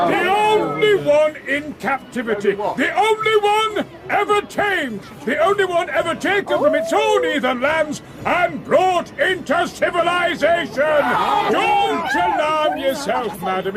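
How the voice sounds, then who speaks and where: A man's voice: extremely high pitch, exaggerated voice. An actor playing a brash Victorian fairground showman with a British accent, calling out coaxing patter in a radio drama. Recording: loud outdoor crowd behind.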